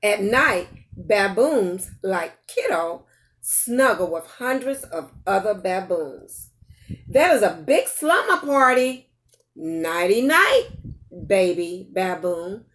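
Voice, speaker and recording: An older woman reading a picture book aloud to young children, playful and expressive, often putting questions straight to her listeners. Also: medium pitch, takes it slowly. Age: older, grandparent. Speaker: storytime host reading to children.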